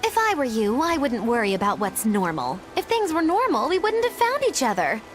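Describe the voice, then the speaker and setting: A woman's voice: high voice, huge pitch swings, fairly quick, faint music behind. Sly, swooping young witch. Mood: knowing. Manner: teasing, theatrical, sly. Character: mischievous, smug, eccentric young female witch. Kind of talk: English video game voice acting.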